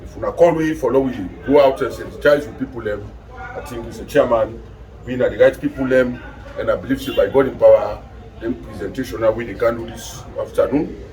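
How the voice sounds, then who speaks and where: An older man with a Sierra Leonean Krio accent, a headmen's chairman giving a lively speech of thanks indoors. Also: mid-range voice, a little fast, far from the mic, poor audio. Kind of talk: acceptance speech. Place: office, indoors.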